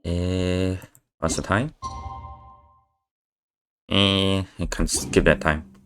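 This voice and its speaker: A man's voice: low-pitched, flat delivery, extremely slow, noisy background. A male streamer giving casual gameplay commentary in a hesitant, mumbling way with many fillers.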